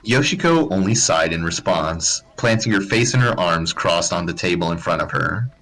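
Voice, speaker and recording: A man's voice: low-pitched, expressive, moderate pace, a bit bright, poor audio. A man narrating fan fiction as a live dramatized comedic reading.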